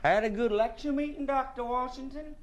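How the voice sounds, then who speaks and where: A man's voice: extremely high pitch, fairly quick. An actor playing a polite, solicitous male assistant in a radio drama. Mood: attentive. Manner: polite, obliging.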